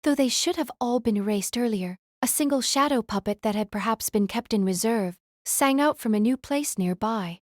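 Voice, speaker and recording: A woman reading a novel as audiobook narrator, in a measured, literary storytelling manner. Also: fairly high pitch, dry recording, clean studio sound.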